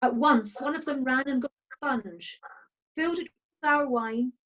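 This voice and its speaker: A woman with a husky voice, narrating a scripted dramatic scripture reading over a video call. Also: sits high, unhurried, a bit bright, rough recording. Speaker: narrator in a congregation's Passion reading.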